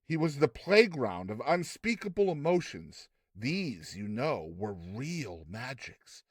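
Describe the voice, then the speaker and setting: A man narrating classic fiction as a dramatized audiobook, with measured, theatrical storytelling. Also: medium pitch, big pitch swings, dry recording, studio quality. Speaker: narrator, reader.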